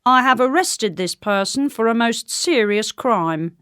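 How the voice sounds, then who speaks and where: Woman as a gloomy, slow-spoken castle soldier. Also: a little high, animated, a bit bright, clear, resonant tone, dry recording, studio quality, voice pushed up. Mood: solemn, reporting. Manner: gloomy, solemn, slow and emphatic.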